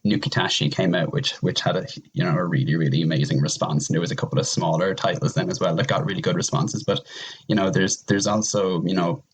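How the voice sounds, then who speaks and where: A man with a deep, husky voice talks casually. His delivery rambles, circling back and repeating words as he builds a point in relaxed conversation. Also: fast. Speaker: podcast guest. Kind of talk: casual chat.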